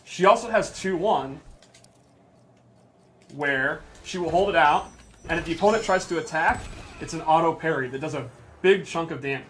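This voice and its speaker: A man's voice: on the high side, moderate pace, pauses often, a bit bright, slight background noise. A man giving casual gameplay commentary, explaining things enthusiastically. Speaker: presenter of a game character breakdown.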